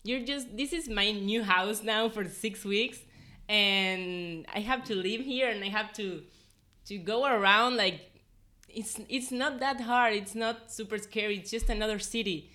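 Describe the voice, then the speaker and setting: Bright-voiced young woman, earnest and informal. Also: medium pitch, unhurried. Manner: earnest, informal, frequent "like" and "you know". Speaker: podcast guest, former volunteer. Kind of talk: casual personal storytelling in an interview.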